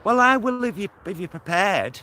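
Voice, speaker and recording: A man's voice: high voice, animated, moderate pace. A middle-aged man with a Greater Manchester accent, chatting casually and rambling in a slightly camp way as he walks and films himself. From England. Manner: chatty, rambling, campy endearments. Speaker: vlogger. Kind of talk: casual chat to camera while walking. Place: outdoors, walking along a suburban road. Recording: loud outdoor street and traffic noise.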